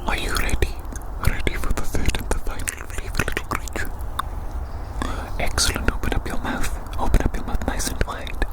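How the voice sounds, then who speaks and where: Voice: moderate pace, poor audio. A person whispering an ASMR roleplay as a playful, reassuring examiner who often repeats little phrases. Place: outdoors in a forest.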